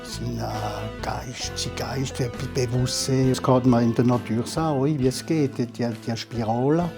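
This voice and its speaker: A man, a painter, talking haltingly in an interview and searching for words. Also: mid-range voice, fairly even intonation, over music. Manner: hesitant, searching for words, mixes in French terms.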